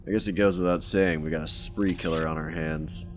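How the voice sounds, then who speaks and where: A jaded homicide detective, voiced by an actor, in a horror radio drama, making crude, sarcastic remarks. His voice sits low, with faint music in the background. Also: steady tone, brisk, natural voice. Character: cynical male detective named Worst. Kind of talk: audio drama acting.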